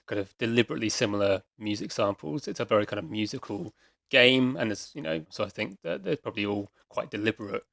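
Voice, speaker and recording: A man's voice: on the low side, fast talker, creaky, a bit bright, dry recording, studio quality. A man hosting a casual podcast quiz, chatty and explaining at length, often repeating words as he thinks. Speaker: quiz host.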